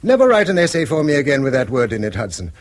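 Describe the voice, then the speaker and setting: Fussy posh english schoolmaster, old radio play, bit husky, very up and down delivery. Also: male voice, medium pitch, wildly swooping pitch, fast talker. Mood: donnish, chiding. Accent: English Received Pronunciation. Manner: precise, donnish, gently scolding. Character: pedantic, mild-tempered English schoolmaster and husband. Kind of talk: mid-century British radio drama acting.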